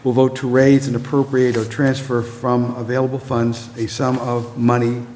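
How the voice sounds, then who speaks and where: Monotone man reading meeting articles. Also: medium pitch, normal speed. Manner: flat, monotone formal reading. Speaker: committee member reading articles. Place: town meeting room. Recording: echoey room with long reverb and faint hiss.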